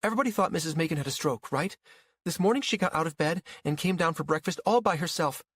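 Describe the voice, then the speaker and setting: A man's voice: a little high, fast talker, fairly bright tone, pitched above the performer's own voice. A man voicing a gossipy woman in an audiobook story, confiding news in an emphatic way. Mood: astonished, dread.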